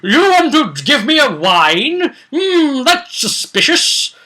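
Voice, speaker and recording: A man voicing a paranoid, suspicious adventurer who trusts nobody, with an exaggerated, drawn-out wary delivery in a tabletop game retelling. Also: very high-pitched, big pitch swings, bright, forceful tone, voice pushed up, heavily acted.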